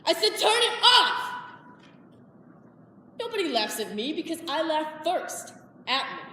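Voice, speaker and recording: A woman performing a monologue as a defiant, brash performer who speaks slowly and emphatically. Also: high-pitched, very animated, pushed and bright, exaggerated voice. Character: defiant brash stripper confronting her mother. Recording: echoey hall from far off, background noise.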